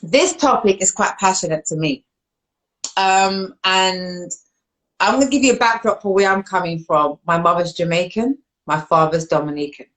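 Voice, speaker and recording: London woman host, casual and animated, quick to laugh. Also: low-quality recording. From London, England. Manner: animated, laughs often, jumps up in pitch when excited. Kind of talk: casual live-stream chat.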